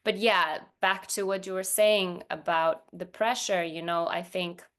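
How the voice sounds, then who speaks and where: A woman's voice: fairly low pitch, steady tone, a little slow, fairly bright tone, clear, resonant tone. A woman from Iceland, a non-native English speaker, talking casually in an interview with hesitant phrasing and frequent restarts. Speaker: podcast guest, professional dancer.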